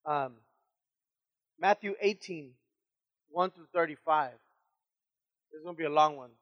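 A man leading a Bible study in casual talk, who stutters and speaks slowly. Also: on the high side. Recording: dull lo-fi recording.

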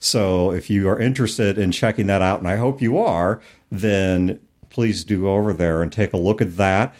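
A man's voice: deep voice, normal speed, darkish tone. A middle-aged man hosting a podcast, talking casually and explaining things conversationally. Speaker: podcast host.

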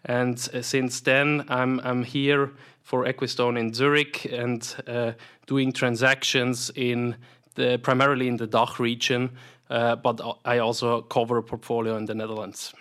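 Man, Swiss accent, thirties, interview guest, hesitant, lots of uh and um, mid pitch. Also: level tone, measured pace, fairly bright tone. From Switzerland. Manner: hesitant, many fillers and repeated words. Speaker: private equity investment professional, guest. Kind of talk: conversational interview answers.

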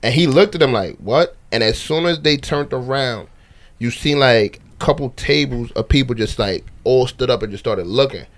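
A man's voice: medium pitch, normal speed. A man, a podcast co-host in casual chat, telling stories in a loose, easygoing way. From United States. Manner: loose storytelling, drops quieter when telling a story.

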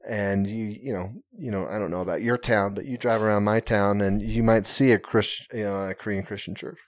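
A man's voice: fairly low pitch, fairly even intonation, a little fast. A man talking casually on a podcast, hesitant, full of fillers and restarts, with self-mocking humor. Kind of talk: casual conversation.

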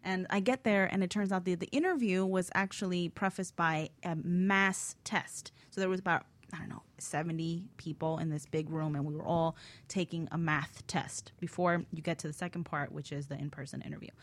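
A woman telling a personal story on a talk show, acting out her own past thoughts in a lively way. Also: fairly low pitch, normal speed. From Miami. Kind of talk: casual storytelling.

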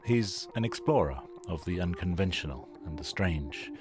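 Low-voiced male radio host over music. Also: animated, moderate pace. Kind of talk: scripted narration introducing an audio documentary. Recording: music behind the voice.

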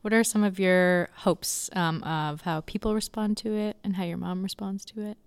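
A woman's voice: medium pitch, steady tone, normal speed, smooth. A woman hosting an interview, asking friendly, curious questions. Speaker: interview host.

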